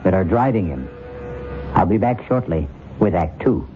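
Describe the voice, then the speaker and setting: An actor playing an ominous mystery host, narrating an old-time radio show in a grave, formal, theatrical manner. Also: male voice, low voice, animated, normal speed. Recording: phone-like old radio recording, loud music. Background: loud music.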